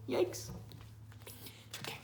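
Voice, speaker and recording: A woman's voice: drawn-out words, roomy sound, noisy background. A woman reading a children's Bible story aloud expressively, chatting with a young child and asking simple questions.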